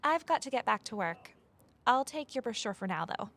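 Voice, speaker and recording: A woman's voice: a little high, lively intonation, normal speed, a bit bright. An actress playing a wry, curious office worker who narrates her own story in an audio drama. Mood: wry, skeptical. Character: wry, curious female former journalist, new office assistant. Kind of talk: audio drama, first-person narration and dialogue.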